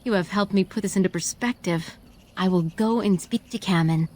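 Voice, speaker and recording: A woman's voice: medium pitch, moderate pace, darkish tone, natural voice. A woman playing an earnest, lovelorn young elf in a fantasy video game, speaking in formal phrases. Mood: remorseful, resolved.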